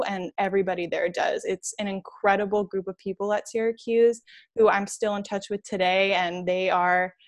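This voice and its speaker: A woman in her twenties from New York, answering interview questions in a chatty, enthusiastic way as a podcast guest. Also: medium pitch. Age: 20s.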